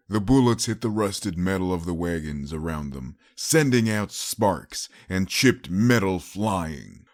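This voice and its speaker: Casting: male audiobook narrator, low register, dry studio-like sound, dramatic scripted storytelling. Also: no room sound.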